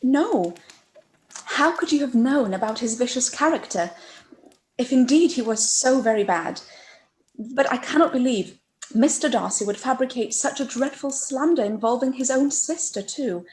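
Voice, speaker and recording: Woman as a kind elder sister, soft, earnest, high voice. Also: lively intonation, moderate pace, low-quality recording. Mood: gentle. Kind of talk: live-read radio play.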